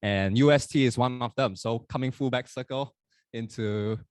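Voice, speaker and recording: A young man in his twenties, not from Hong Kong, co-hosting a podcast at a live university event. He talks in a relaxed, chatty way, often correcting himself mid-sentence. His voice sits mid-range and is recorded dry and close. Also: mid-range voice, normal speed, clear, resonant tone, no room sound. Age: 20s. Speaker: podcast co-host. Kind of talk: casual chat at a live event.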